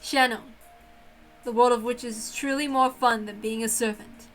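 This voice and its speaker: A woman's voice: a little high, a bit bright, natural voice, low-quality recording. A woman voicing a grand, possessive witch in formal, theatrical speech, lonely and insistent, as in a visual novel reading.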